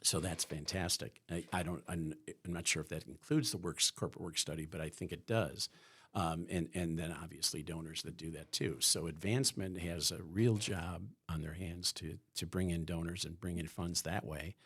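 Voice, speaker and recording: A man's voice: low voice, fairly even intonation, moderate pace, fairly bright tone, breathy edge. A man from the Chicago area, a podcast guest from a school's staff, talking in a rambling way with many restarts. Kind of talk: conversational podcast interview.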